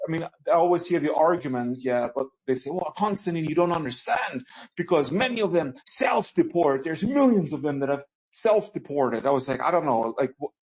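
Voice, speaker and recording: A man on a podcast, speaking in measured casual political chat, hedging with "basically". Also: fairly high pitch, animated, a little mellow, low-quality recording. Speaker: podcast guest.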